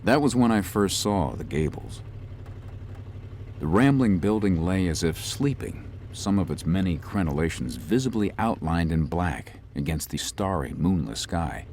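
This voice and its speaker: A man voicing a young, composed city lawyer who narrates his own ghost story in a measured, slightly formal way. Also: deep voice, big pitch swings, a little mellow, a little hiss or hum. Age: young adult. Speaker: narrator and lead. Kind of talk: first-person audio drama narration.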